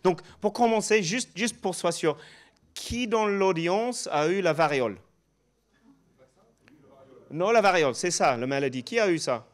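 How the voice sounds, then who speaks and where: Male professor, fairly high voice, halting and audience-engaging. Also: lively intonation. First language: not French. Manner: halting non-native phrasing, engages audience with questions. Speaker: guest speaker, professor. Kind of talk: conference presentation. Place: conference room before an audience.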